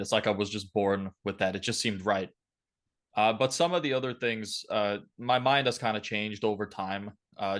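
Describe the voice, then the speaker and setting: A twenty-year-old man from Lithuania giving blunt, opinionated, casual answers as a podcast guest. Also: lowish voice.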